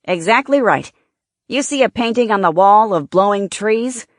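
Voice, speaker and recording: Woman acting a male film executive, insistent, persuasive, rhetorical, raised pitch, dry audiobook sound. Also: expressive, normal speed, no room sound, lightly acted. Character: emphatic, opinionated male studio chief.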